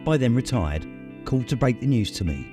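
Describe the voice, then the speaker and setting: A man reading a memoir aloud as an audiobook narrator, in measured storytelling phrases. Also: medium pitch, very animated, music in the background. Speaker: audiobook reader. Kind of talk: scripted literary narration.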